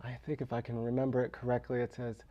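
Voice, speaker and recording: A man's voice: mid-range voice, completely flat delivery, quick pace. Man with dry wit, slightly muffled recording. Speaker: interview guest, local novelist. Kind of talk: conversational interview anecdotes.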